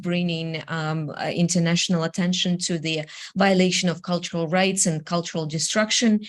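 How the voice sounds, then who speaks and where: Lowish-voiced woman giving an earnest welcome. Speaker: event co-host. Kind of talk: prepared welcome speech.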